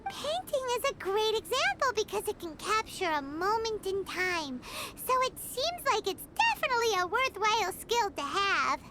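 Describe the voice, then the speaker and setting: A woman voicing a tiny, excitable fairy companion girl who talks about herself in the third person, eager and pushy, in a video game cutscene. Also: very high voice, normal speed, few pauses, very noisy. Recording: game cutscene over loud background music.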